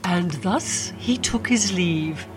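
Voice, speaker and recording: A woman playing a knowing grandmother who tells a dark fairy tale in a radio drama, with ornate, deliberate phrasing. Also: on the low side. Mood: storytelling. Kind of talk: theatrical radio storytelling. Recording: music behind the voice.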